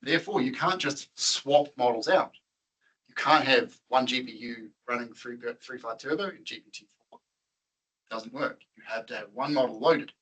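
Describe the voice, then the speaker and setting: A man's voice: mid-range voice, normal speed, fairly bright tone, slightly rough, rough recording. A man presenting at a tech meetup, chatting casually with the audience, quizzing them and laughing often.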